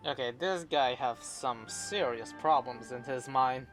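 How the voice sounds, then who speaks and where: Slow-talking man reacting to a game. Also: medium pitch. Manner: exclamatory, incredulous interjections, slangy. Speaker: gaming video host. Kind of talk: casual live gameplay reaction commentary. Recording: game music loud behind voice.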